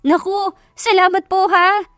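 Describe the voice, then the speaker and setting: A woman's voice: very high-pitched, level tone, unhurried, a bit bright, no echo, clean studio sound, faint music behind, pitched above the performer's own voice. A woman voicing an eager, respectful and easily flustered young working woman in an audio drama, with a polite, bubbly manner. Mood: delighted. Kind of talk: Tagalog audio drama acting.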